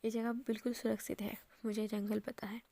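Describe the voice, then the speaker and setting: A woman's voice: medium pitch, little pitch movement, brisk, warm tone, close to an everyday voice. A woman whispering as a bold, teasing young man. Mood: reassuring.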